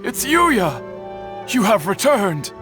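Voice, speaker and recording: A man playing a kindly, thoughtful smithy elder in a video game, speaking formally and deliberately. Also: sits high, sing-song, over music, exaggerated voice. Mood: relieved.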